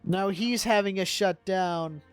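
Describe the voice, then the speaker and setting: High-voiced man casually streaming a game. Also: measured pace, clean tone, soft background music. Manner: wry, joking asides. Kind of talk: casual live playthrough commentary.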